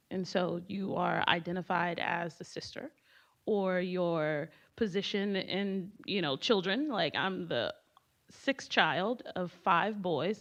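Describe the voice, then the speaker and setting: A woman whose first language is Haitian Creole, speaking earnestly and reflectively as a panelist. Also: medium pitch. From United States, Haitian family. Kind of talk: panel discussion. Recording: muffled lo-fi event recording.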